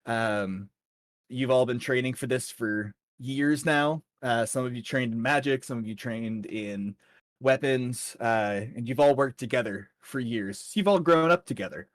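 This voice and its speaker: A man with a medium-pitched voice acts as dungeon master for a tabletop fantasy game. He narrates the world and talks informally with his players. The sound is dry, with hardly any room in it. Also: unhurried, no echo.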